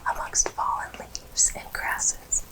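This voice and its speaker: A woman host narrating educational animal facts in an ASMR style, whispering slowly and deliberately. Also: pushed and bright. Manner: whispers slowly and deliberately through most of the talk.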